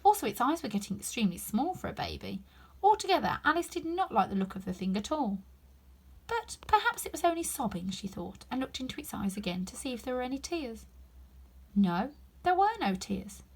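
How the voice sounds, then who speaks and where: A woman reading a children's story aloud as narrator, in an expressive, emphatic way. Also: high voice, fairly quick, breathy edge. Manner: animated, emphatic. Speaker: storybook reader.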